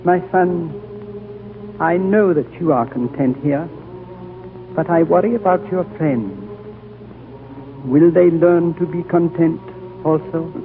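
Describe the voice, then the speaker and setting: An actor playing an ancient, wise and gentle high lama with a solemn, fatherly, oratorical manner, in the style of 1940s radio drama. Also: slow, long pauses, noisy background. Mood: gentle, curious. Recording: tinny 1940s radio recording over music.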